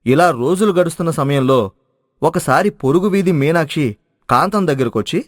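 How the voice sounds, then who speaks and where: A man's voice: on the high side, animated, moderate pace, no echo, studio quality. A man narrating a scripted moral story as its storyteller.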